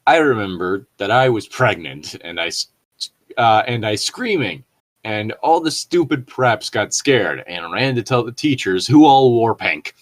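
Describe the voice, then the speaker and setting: A man with a very lively, swinging voice reads bad fiction aloud for comedy. He sounds amused and mocking, dropping into casual, sweary commentary as he goes. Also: on the low side, measured pace. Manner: amused, mocking, breaking into chuckles. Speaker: co-host reading bad fan fiction aloud.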